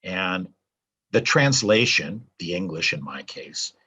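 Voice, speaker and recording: An older man explains software from his home office in a relaxed, chatty way, full of ums and uhs. His voice is low and husky. His pitch moves up and down freely as he talks. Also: slow pace. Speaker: translator demonstrating software. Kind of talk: casual screen-recorded tutorial.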